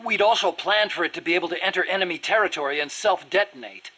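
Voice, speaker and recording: A man's voice: high voice, fast, bright, forceful tone, slightly rough, full character voice. A man playing an earnest, nerdy American weapons engineer in a video game, eager to explain things in precise detail. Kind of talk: video game dialogue acting.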